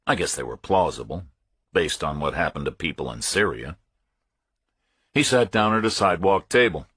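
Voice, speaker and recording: A man narrates a thriller audiobook in a very low, raspy voice. His delivery is measured and matter-of-fact, and the sound is dry and close. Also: a bit bright, dry recording. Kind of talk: scripted first-person thriller narration.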